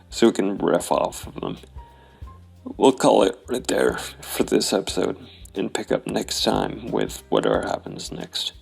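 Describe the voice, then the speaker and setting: A man with an extremely low voice narrates a solo noir tabletop game. His delivery is deliberate and full of heavy gravelly fry, with lively movement in pitch as he tells the story. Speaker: host and solo role-player.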